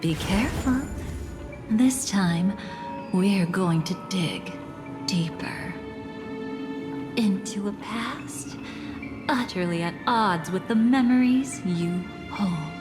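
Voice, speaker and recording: Woman voicing enigmatic memory guide from a video game, unhurried n deliberate, big reverb, music under it. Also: medium pitch, natural voice. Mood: ominous, hushed. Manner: measured, deliberate, cryptic. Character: enigmatic, composed woman guiding someone through buried memories.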